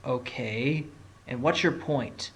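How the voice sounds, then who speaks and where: A man's voice: medium pitch, takes it slowly, close to an everyday voice. A man playing a confident fox defence lawyer with an assertive, probing courtroom manner in an audio drama. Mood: assured.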